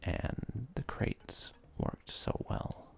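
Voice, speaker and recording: A man giving whispered ASMR commentary as a gaming video host, halting and hesitant, with casual asides as he plays.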